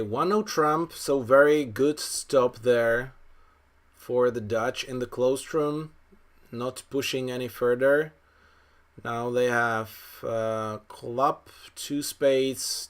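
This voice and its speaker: Slow, unhurried male bridge commentator. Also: medium pitch, clean tone. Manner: thinks aloud, analytical, unhurried. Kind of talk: live card game commentary.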